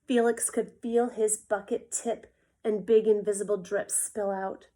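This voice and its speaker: A woman reading a children's story aloud in an expressive, deliberate storytelling manner. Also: mid-range voice. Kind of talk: scripted children's read-aloud narration.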